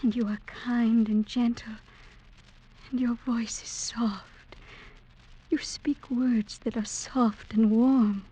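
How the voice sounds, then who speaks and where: An actress playing a gentle young woman in love, soft and tender in mid-century radio drama style. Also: on the high side, fairly even intonation, slow, long pauses, breathy edge. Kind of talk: 1940s-50s radio drama acting. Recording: dull vintage radio recording.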